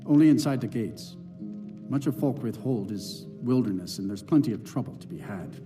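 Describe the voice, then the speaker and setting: An actor playing a stern, loyal Nord bodyguard in a fantasy game, solemn and proud. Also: male voice, medium pitch, expressive, normal speed, over music, natural voice. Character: stern, loyal Nord guard, honor-bound warrior. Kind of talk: video game character dialogue.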